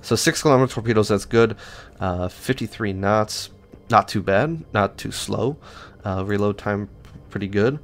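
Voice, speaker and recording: Guy with a lowish voice going over game stats, rambly and offhand, lots of uhs. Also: moderate pace. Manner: rambling, many fillers and restarts, offhand. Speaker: gaming channel host. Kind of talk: casual gameplay stats walkthrough.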